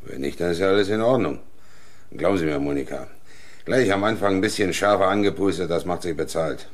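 An actor playing a gruff, blunt, defensive construction foreman in a German radio crime drama. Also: low voice, a little fast. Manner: blunt, terse.

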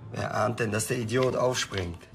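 An actor playing a slick, self-important manager and schemer in a TV crime comedy. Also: male voice, fairly low pitch, moderate pace, gravelly. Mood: desperate.

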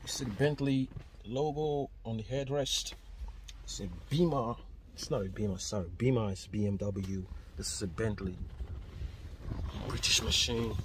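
A man in his thirties walking a car auction lot, filming himself on a phone and talking casually and excitedly about the cars. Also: on the low side. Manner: excited, chatty, exclaiming over cars. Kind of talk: casual selfie vlog. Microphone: phone camera at arm's length. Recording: outdoor phone audio with background noise.

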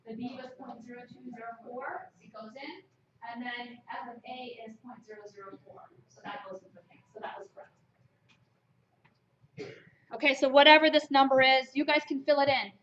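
A woman from Germany lectures a math class with emphatic, lively questions. Her voice sits on the higher side. The classroom recording sounds dull and lo-fi. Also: moderate pace, background noise. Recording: lo-fi lecture capture.

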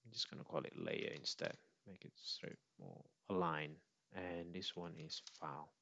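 A man presenting an informal step-by-step software demo, on a slightly dull recording with mouse clicks. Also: low voice, steady tone, moderate pace, gravelly, no room sound.

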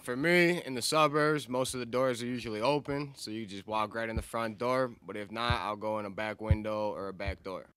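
A nineteen-year-old man speaking quietly, his sentences trailing off. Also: lowish voice, a little fast, hardly any pauses, clean tone, dry recording. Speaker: inmate speaker.

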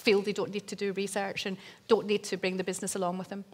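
Lively woman telling stories on stage. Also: mid-range voice, quick pace, slight background noise. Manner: lively storytelling with laughs and fillers. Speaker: conference speaker. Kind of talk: conference talk and on-stage Q&A. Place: large dark conference stage. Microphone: stage microphone at lectern.

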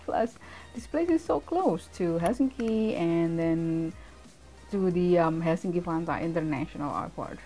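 A middle-aged woman speaks in a soft, mellow voice as she shows viewers around outdoors. She talks in a cheerful, welcoming tour-guide manner into a handheld phone. Faint music plays under her voice. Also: lowish voice, animated, unhurried. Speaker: travel vlogger. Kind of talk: casual vlog narration. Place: outdoors on a town street.

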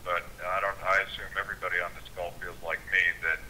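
A man's voice: deep voice, level tone, fast talker, bright, forceful tone, slight background noise, poor audio. A man speaking on a conference call, earnest and often repeating words as he starts a thought. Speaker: conference call speaker, political activist leader.

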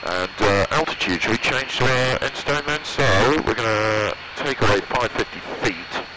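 A man's voice: fairly low pitch, lively intonation, normal speed. A young British man on a headset, muttering short flight checks to himself as a student pilot. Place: small open-sided microlight cockpit in flight. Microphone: aviation headset. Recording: loud aircraft engine noise, headset audio.

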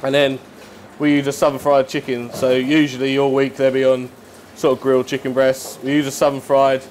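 Chef chatting over background noise. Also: male voice, medium pitch, little pitch movement, a little fast, a little mellow. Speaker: head chef at a football club. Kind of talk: casual explanatory chat to camera. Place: indoors, club kitchen and dressing room.

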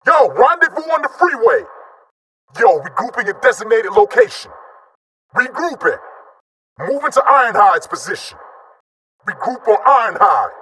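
High-pitched, cocky robot squad leader. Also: male voice, full character voice, rough recording. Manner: slangy, swaggering, punchy shouted callouts. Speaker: squad leader calling battle orders. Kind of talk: video game combat barks.

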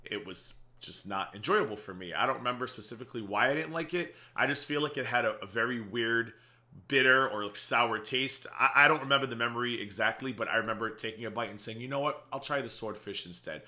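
A man in his thirties talks quickly and sarcastically through a reaction video, his voice bright with a slight rasp. He sits at a desk in a small home office with earbuds in. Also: medium pitch. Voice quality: slightly raspy from a strained voice. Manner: chatty and sarcastic, quick asides. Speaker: reaction channel host. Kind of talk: casual reaction commentary.

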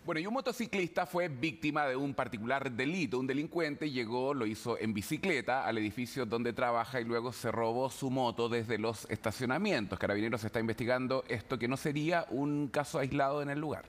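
A male anchor reads the news in a formal, scripted way with a mid-pitched voice. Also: brisk, hardly any pauses. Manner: formal reading.

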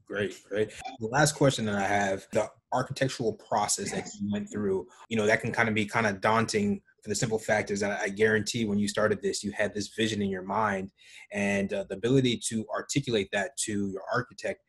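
Man in his thirties, husky low voice, interview host, friendly rambling questions, webcam call. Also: fairly even intonation, brisk, fairly bright tone. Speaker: podcast host. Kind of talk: casual video-call interview. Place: room with bookshelf at home. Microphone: webcam on a video call.

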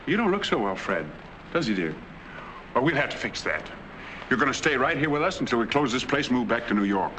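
An actor playing a middle-aged, wealthy American husband, genial with a sly menace, in 1940s film noir style. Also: male voice, medium pitch, big pitch swings, fairly quick, pushed and bright, slight background noise, low-quality recording. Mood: hearty. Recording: 1940s film soundtrack with background music.

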